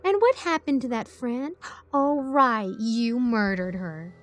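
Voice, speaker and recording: A woman voicing a cruel demon in a woman's body, gloating and taunting with mocking, drawn-out phrasing, in an audio story. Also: a little high.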